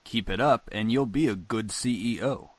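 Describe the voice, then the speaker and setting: A man's voice: fairly low pitch, normal speed, no echo, lightly acted. An actor voicing a stern, controlling businessman father in a visual novel, speaking with commanding authority. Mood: firm approval.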